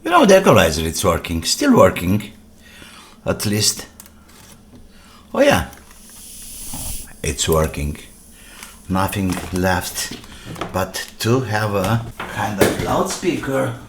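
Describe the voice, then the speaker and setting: A man's voice: on the low side, sing-song, slow, long pauses. A man giving casual hands-on commentary as a repair video host, talking to himself in short phrases and asking and answering his own questions. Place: indoor workbench. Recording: clear background noise with workbench clatter.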